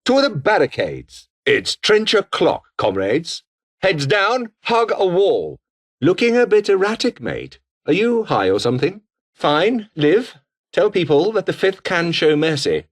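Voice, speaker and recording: Game role: pompous, scheming British officer, coldly ambitious, with widely swinging intonation. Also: male voice, sits high, very animated, a little slow, exaggerated voice. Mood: combative, urgent. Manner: pompous, formal, disdainful. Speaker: video game voice actor. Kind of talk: scripted game character dialogue.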